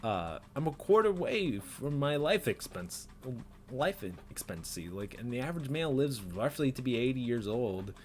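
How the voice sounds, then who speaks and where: A man's voice: mid-range voice, sing-song, moderate pace. A man in his twenties rambling self-critically in an unedited personal vlog, with nervous laughs and many fillers, recorded in a small bedroom on a desk webcam. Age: 20s. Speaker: vlogger.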